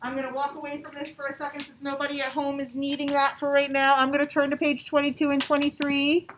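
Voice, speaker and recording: Female primary teacher, high register, instructional repetitive phrasing, reverberant classroom sound with faint noise. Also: monotone, fast talker, fairly bright tone. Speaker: elementary school teacher. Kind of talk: classroom lesson with read-aloud and questions to children. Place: indoor classroom. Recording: echoey classroom, distant mic, background noise.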